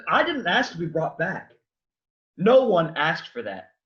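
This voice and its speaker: A man acting a sarcastic, reluctant man just raised from the dead, deadpan, in a staged reading of a comic play. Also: fairly high pitch, a little slow, muffled recording, heavily acted. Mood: sardonic, sullen.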